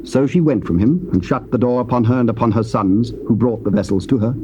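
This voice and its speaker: A man narrating scripture in a measured, solemn reading style. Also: medium pitch, noisy background. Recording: muffled old cassette tape.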